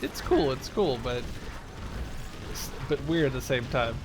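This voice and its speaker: A man's voice: medium pitch, expressive, normal speed, a little hiss or hum. A man in his twenties from the Netherlands giving casual, rambling, enthusiastic commentary on a video game. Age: 20s. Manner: enthusiastic, informal, swearing. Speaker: gaming video host.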